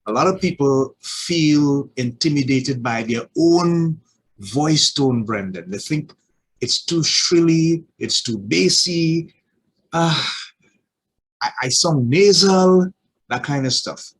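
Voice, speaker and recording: A man hosting a podcast, asking his guest relaxed, open questions. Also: a little high, slow, husky, rough recording. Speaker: podcast host. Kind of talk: casual interview chat.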